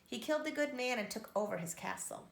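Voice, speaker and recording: A woman voicing a kindly, sorrowful old woman telling her tale gravely in a storybook. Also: on the high side, lively intonation, quick pace. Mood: wistful. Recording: echoey room.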